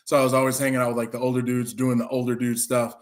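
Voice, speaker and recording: A man's voice: medium pitch, completely flat delivery, racing. A man in his forties telling a long, rambling story in a loose, chatty way. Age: 40s. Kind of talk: casual chat, rambling storytelling.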